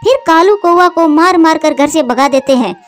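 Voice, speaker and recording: High-pitched woman narrating a children's story. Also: normal speed, faint music behind.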